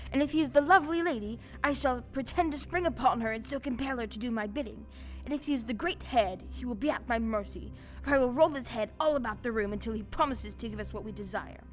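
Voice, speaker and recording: A woman's voice: a little high, fast talker, soft background music, close to an everyday voice. A woman playing a cowardly talking lion in an audio play, declaiming earnestly and swinging between boastful and fearful. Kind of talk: stage-style audio play acting.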